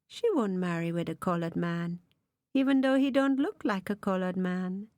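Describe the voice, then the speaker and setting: A woman's voice: on the low side, moderate pace, darkish tone, no echo, natural voice. A woman voicing a sly, gossiping servant woman, talking in a knowing way.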